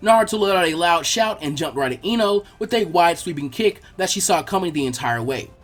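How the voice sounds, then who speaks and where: A man's voice: high-pitched, lively intonation, fairly quick. A man reading a scripted action story aloud as its narrator.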